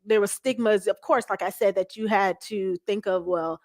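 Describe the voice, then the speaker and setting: A woman's voice: mid-range voice, fairly even intonation, moderate pace, darkish tone, dry recording. An American woman in her forties talking candidly as an interview guest, acting out her own thoughts as she tells her story. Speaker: guest, pastor's wife. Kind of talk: casual interview chat.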